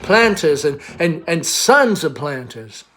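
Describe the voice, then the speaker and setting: A faded Southern belle of a mother, acted out by a man, airy and girlish in a raised pitch. She rambles and gushes theatrically, full of nostalgia for her youth, as in a stage drama. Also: very animated, slow pace, sharp tone, a little hiss or hum, full character voice. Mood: elegiac reminiscing.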